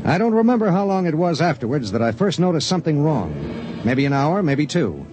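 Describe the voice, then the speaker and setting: A man's voice: on the high side, very animated, a little fast. An American man playing a troubled space pilot who narrates his grim story in a dramatic 1950s radio style. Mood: grim narration. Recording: old radio broadcast with music behind.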